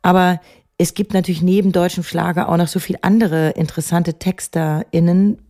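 A middle-aged woman songwriter talking thoughtfully in a relaxed interview, often pausing to search for words. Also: on the low side. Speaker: songwriter and musician.

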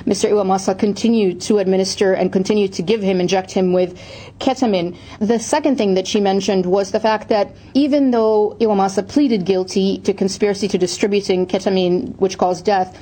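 A woman news correspondent reporting deliberately, with a few restarts. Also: medium pitch, steady tone, warm tone, low-quality recording.

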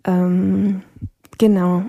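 A Vienna-born woman whose first language is Polish, a singer answering interview questions thoughtfully and pausing to search for words. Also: medium pitch, level tone, vocal fry, dark tone, no room sound. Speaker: singer guest. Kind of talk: interview conversation.

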